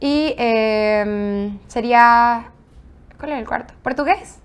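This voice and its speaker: Bubbly young woman, fairly high voice. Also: takes it slowly, clean tone. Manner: bubbly, self-deprecating, nervous laughs. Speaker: podcast guest. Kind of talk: casual interview chat. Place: dark studio set. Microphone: desk mic on boom arm.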